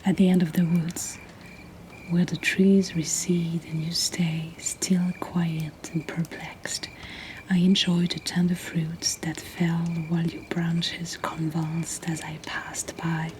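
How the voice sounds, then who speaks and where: Non-native english lady whispering poems asmr style, really slow n breathy, crickets n faint noise behind her. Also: on the low side, level tone, poor audio. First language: not English. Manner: slow, gentle, deliberate delivery. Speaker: host reading submitted poetry. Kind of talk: soft whispered ASMR poetry reading. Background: crickets and insect ambience.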